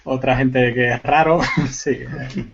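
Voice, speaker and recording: A man with a Canarian Spanish accent mixed with Cuban tones, explaining things in a relaxed, chatty way with joking asides on a video call. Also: mid-range voice, slow, gravelly, lo-fi sound, slight background noise. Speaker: guest panelist, forensic IT.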